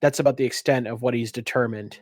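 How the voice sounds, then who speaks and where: A man's voice: mid-range voice, steady tone, fast, dry recording. Man narrating a tabletop game, dry close sound. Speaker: game master.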